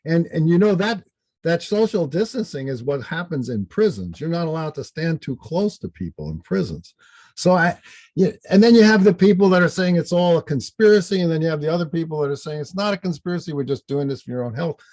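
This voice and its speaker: Older man, slightly high voice, mellow tone, opinionated, casual interview talk, dry close sound. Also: animated, a little fast, no echo. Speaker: podcast guest, astrologer and author.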